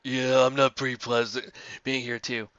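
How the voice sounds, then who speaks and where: A man's voice: mid-range voice, little pitch movement, normal speed, fairly bright tone, smooth, no echo, highs cut off. A man giving a casual amateur dramatic reading as a guest playing character parts.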